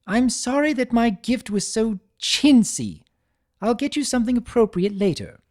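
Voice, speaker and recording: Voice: medium pitch, animated, normal speed, a little mellow, no echo, studio quality, pitched above the performer's own voice, close to an everyday voice. A performer voicing an elegant, proud and guarded female unicorn pony in a cartoon fan story, with refined, theatrical and precise diction. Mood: defensive.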